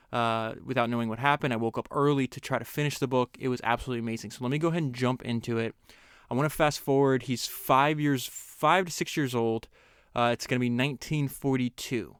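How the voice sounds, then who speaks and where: A man with a smooth, resonant voice hosts a podcast. He reads a book passage and comments on it in an earnest, measured way. The sound is dry and close, with no room echo. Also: medium pitch, steady tone, a bit bright. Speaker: podcast host. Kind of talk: reading a book excerpt aloud and commenting on it.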